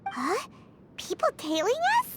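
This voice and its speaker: Very squeaky, plucky little fairy companion. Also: female voice, unhurried, very noisy, exaggerated voice. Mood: startled, questioning. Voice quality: squeaky, childlike. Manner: expressive, spirited. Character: small, plucky, high-pitched childlike fairy companion. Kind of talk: video game voice acting. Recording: studio voice under loud game music.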